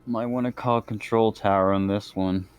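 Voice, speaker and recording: A man with a deep, low-pitched voice plays a tabletop game. He talks casually, like someone chatting with friends while working out his next move. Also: fairly even intonation, moderate pace, slight background noise.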